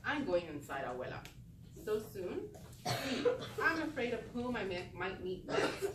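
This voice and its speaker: A woman voicing a young female storybook cockroach, sweet, proud and a little shy, with expressive delivery. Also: mid-range voice, a little slow. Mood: fuming. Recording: echoey room, distant mic, noisy background.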